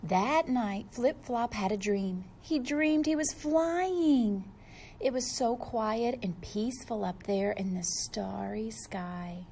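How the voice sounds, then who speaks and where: Slow-paced, high-voiced woman reading to children. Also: slight background noise. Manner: expressive, addressing children directly. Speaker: storybook reader for young children. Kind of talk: children's story read-aloud.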